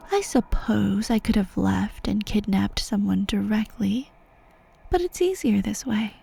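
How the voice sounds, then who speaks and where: A woman playing a sadistic, gleeful sorceress in an ASMR roleplay, cooing mockingly at a captive pet in a breathy voice that often slips toward a whisper. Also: mid-range voice, warm tone, a little hiss or hum. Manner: mocking baby-talk cooing, drawn-out words, breathy asides. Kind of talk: ASMR roleplay monologue addressed to the listener.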